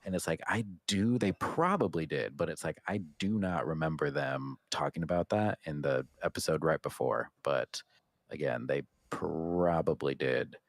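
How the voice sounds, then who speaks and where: A man's voice: rumbling low, normal speed, no room sound, studio quality. A man co-hosting a podcast in casual, unscripted chat about what he watched, rambling and trailing off as he goes. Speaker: podcast co-host.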